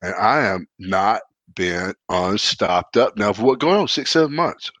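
A man's voice: fairly low pitch, unhurried, fairly bright tone, no room sound. Congested man rambling in casual chat. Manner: rambling, self-mocking, frequent restarts. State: congested, stuffed up. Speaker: co-host.

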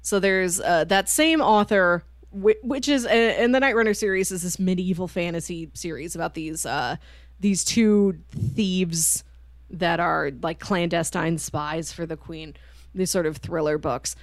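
A woman co-hosting a book podcast in casual conversation, telling stories in an animated, informal way. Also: lowish voice, measured pace, dry recording, clean studio sound. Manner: animated storyteller, acting out quoted lines informally. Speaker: podcast co-host reviewing books.

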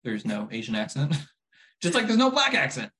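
A young man in a relaxed podcast chat, speaking at an ordinary, middling pitch. He is blunt and opinionated, with a wry, joking edge, and his dry humor keeps things light. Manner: opinionated, wry, quick to laugh. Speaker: podcast co-host.

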